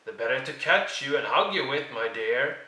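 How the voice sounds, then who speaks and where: Storybook wolf voiced by a man: sly, hungry, menacing, sharp-edged tone, echoey room. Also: mid-range voice, expressive, moderate pace. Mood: sly coaxing. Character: sly, hungry male wolf posing as grandmother. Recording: roomy, distant microphone.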